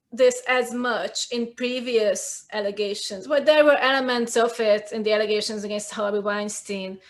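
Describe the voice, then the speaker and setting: A woman speaks as a guest in a casual conversation, her voice sitting somewhat high. She is articulate and emphatic and works through her points aloud. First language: Hungarian.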